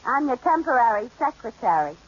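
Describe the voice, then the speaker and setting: An actress playing a chirpy, scatterbrained young housewife in a 1940s radio comedy. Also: female voice, on the high side, sing-song, fairly quick. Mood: flustered. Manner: chirpy, flustered, wisecracking. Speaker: wife in a radio sitcom. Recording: dull lo-fi old radio recording with faint hiss.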